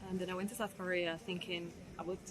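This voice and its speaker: A woman's voice: on the low side, flat delivery, fast, rough recording. A woman from Ecuador, a tourist answering street interview questions, chatty and giggly. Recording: busy crowd noise behind.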